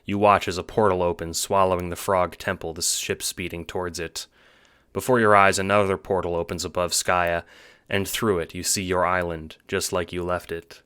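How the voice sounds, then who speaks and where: A man's voice: low-pitched, level tone, normal speed, fairly bright tone, clean tone, dry recording, clean studio sound. A man giving scripted narration for an audio book styled as a drama. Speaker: narrator, writer and producer.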